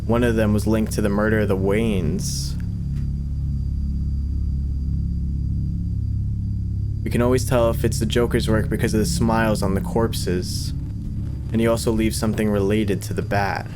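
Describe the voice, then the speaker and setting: A grim former police captain, played by a man, narrates a horror story of a doomed city. The voice is fairly low, grave and measured, with music clearly behind it. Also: flat delivery, lightly acted.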